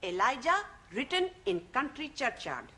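An actress playing an earnest woman student in a sitcom who recites a poem in halting, broken English with slips. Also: sits high, big pitch swings, sharp tone, full character voice. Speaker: adult language student. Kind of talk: 1970s studio sitcom acting.

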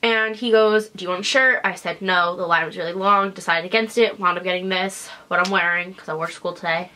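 A young woman tells a story straight to a camera set up in her small bedroom, her voice bright and forward. She sounds congested and sniffly, and she talks in a loose, chatty way, full of asides and fillers. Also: lowish voice, a little fast, poor audio. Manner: chatty and animated, lots of "like" and "whatever". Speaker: vlogger. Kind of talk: casual storytelling vlog. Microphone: camera in front at desk distance.

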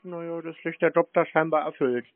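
A man's voice: on the high side, steady tone, fairly quick, no echo. A man chatting casually on a call, unfazed and nonchalant, with a dryly provoking way of talking. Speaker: scam-baiter. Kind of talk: casual phone conversation. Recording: dry, narrow phone-like sound.

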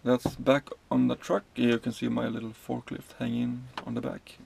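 A man in his thirties from Sweden, a truck driver giving a casual tour of his cab, explaining the controls in a halting way with many fillers and restarts. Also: medium pitch, little pitch movement, creaky, darkish tone, a little hiss or hum.

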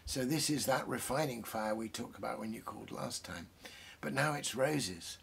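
A British man in his sixties, a host talking about books and reading aloud, reflective and savouring his phrases with deliberate pauses. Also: mid-range voice, lively intonation, gravelly, fairly bright tone, breathy edge. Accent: British English. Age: 60s. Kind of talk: bookish commentary and reading aloud. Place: small book-crammed study, indoors.

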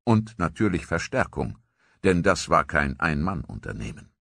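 Deep, husky documentary narrator. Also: male voice, very animated, a bit bright, no echo. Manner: measured, grave storytelling.

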